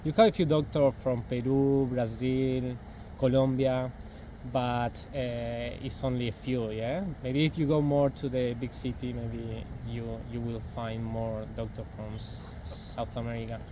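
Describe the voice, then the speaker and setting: A man's voice: mid-range voice, flat delivery, measured pace, background noise. A young man from Argentina whose first language is Spanish, speaking English with an Argentinian accent and answering interview questions hesitantly, often repeating words.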